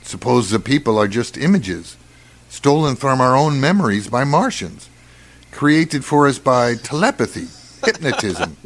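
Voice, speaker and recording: A man acting the role of a suspicious, brooding doctor on a space crew, deliberate and ominous in radio drama style. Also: medium pitch, sing-song. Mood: musing suspicion. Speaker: actor in amateur radio play.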